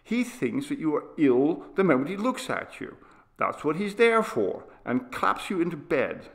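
A man's voice: a little high, very animated, unhurried, creaky, pitched above the performer's own voice, heavily acted. A man voicing a feverish, eager young boy who pleads urgently and insistently in an audiobook story. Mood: indignant, pleading. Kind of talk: dramatised audiobook character reading.

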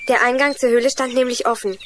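A woman's voice: fairly high pitch, steady tone, quick pace, slight background noise, natural voice. A woman playing an earnest young girl in a children's radio play, telling things in an eager, explanatory way. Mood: earnest storytelling. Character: Juliane, earnest young girl lost in forest.

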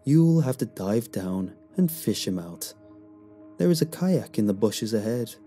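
A man's voice: lowish voice, sing-song, warm tone, husky, soft background music, heavily acted. A man voicing a talking moon that speaks in someone's head, smug and fond of bad puns. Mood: commanding. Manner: smug, drawn-out joking delivery.

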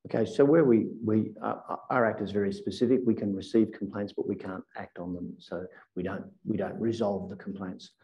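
A man in his fifties giving careful, halting formal testimony as a witness at a hearing. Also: on the low side, fairly even intonation, highs cut off. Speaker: government inspector giving evidence as witness. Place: indoor hearing room, seated at a table. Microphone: table microphone.

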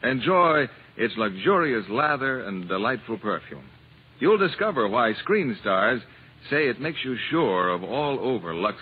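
A man's voice: mid-range voice, husky. A man announcing a scripted advertisement in a 1950s radio style. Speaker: commercial announcer. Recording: muffled old radio broadcast.

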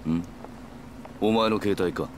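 A man's voice: fairly low pitch, slow, slight background noise. A man voicing an older yakuza patriarch in a Japanese video game, composed, authoritative and affable. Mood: composed, cordial.

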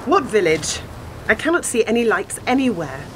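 A woman's voice: mid-range voice, big pitch swings, normal speed, noisy background, lightly acted. A woman playing a sceptical, dry-witted woman in a radio play, full of questions. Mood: wry, complaining.

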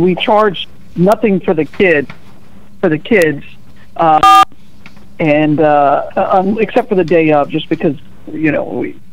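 A man speaking as a radio guest in a fairly high voice. He hesitates and fills gaps with ums as he goes. The sound comes through dull and muffled. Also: vocal fry. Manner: hesitant, many fillers. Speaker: blood bank guest. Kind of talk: casual radio interview.

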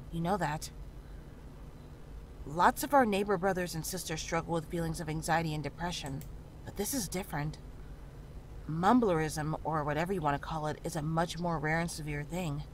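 A woman playing a calm, frank town healer in a horror visual novel, speaking in a measured, reassuring way. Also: low-pitched, natural voice, low-quality recording. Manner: measured, matter-of-fact, reassuring. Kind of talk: visual novel character dialogue. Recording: clearly audible background noise, ambience.

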